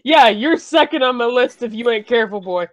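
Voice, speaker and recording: A woman's voice: fairly high pitch, animated, fairly quick, slight background noise. A woman hosting a live stream in casual chat, with a teasing, mock-scolding way of talking. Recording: dull, lo-fi microphone.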